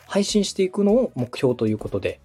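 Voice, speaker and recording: A man's voice: sits high, sing-song, a little fast, dark tone, husky, soft background music. A man from Osaka speaking as a podcast host, giving polite, organized step-by-step advice in a conversational way. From Osaka, Japan. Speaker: podcast host and radio director advising a beginner.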